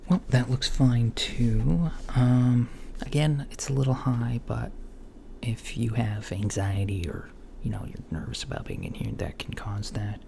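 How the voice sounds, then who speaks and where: A man doing a soft-spoken, partly whispered ASMR roleplay as a calm, reassuring doctor, murmuring hesitantly with long pauses. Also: medium pitch, creaky. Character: calm reassuring male alien doctor at a checkup. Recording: lo-fi with faint background noise.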